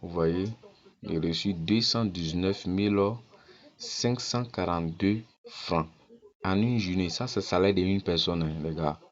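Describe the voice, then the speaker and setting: A man's voice: sits low, unhurried, highs cut off. A man from Ghana, walking viewers through his account on screen in a casual, persuasive way and repeating his points insistently. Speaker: network-marketing promoter.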